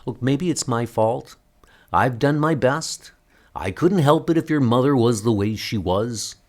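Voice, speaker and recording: A man's voice: medium pitch, lively intonation, measured pace, natural voice. A man playing a weary, worried father in a comic book dub, exasperated and reproachful. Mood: guilty, weary.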